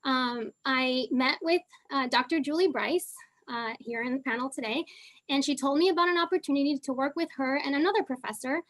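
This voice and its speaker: A young woman originally from Argentina, speaking with a Southern California accent. Her voice sits high as she speaks, and she talks casually, with plenty of ums and uhs. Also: brisk, a bit bright. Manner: casual, many fillers. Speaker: panelist, geochemist. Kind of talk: online panel talk.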